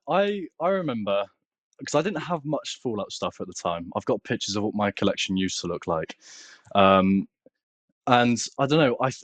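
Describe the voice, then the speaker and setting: A man with a British accent talks casually as an interview guest. His voice sits fairly low and sounds very dry, with no room around it. He tells things in a loose, halting, unpolished way. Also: dry recording. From United Kingdom. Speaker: podcast guest, content creator. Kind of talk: casual conversational storytelling.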